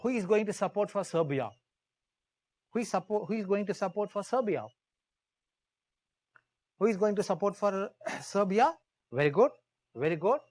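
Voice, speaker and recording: High-pitched Indian man teaching with heavy repetition. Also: expressive, normal speed, long pauses, a little mellow, no echo. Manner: emphatic, repeats key phrases for students; puts questions to the class. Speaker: history teacher. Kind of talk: live classroom lecture told as dramatized story.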